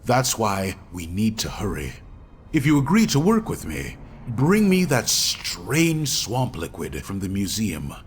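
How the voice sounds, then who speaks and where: An actor playing an earnest, mysterious member of a secret order in a game cutscene, speaking in a measured, formal way. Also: male voice, lowish voice, huge pitch swings, slight background noise. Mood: grave, urgent. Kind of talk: video game cutscene acting.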